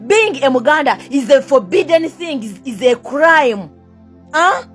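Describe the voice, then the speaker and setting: A woman's voice: high voice, big pitch swings, unhurried, soft background music. A young Ugandan woman with a Ugandan accent in a heated rant to camera. She keeps firing the same rhetorical questions, swears at her critics and switches between two languages. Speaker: vlogger.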